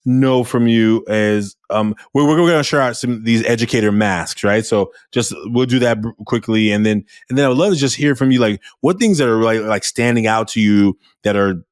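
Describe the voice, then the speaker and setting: A man hosting an interview podcast, talking in a loud, animated way with frequent restarts. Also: medium pitch, normal speed, hardly any pauses, smooth, no room sound, clean studio sound. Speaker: podcast host. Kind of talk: casual interview chat.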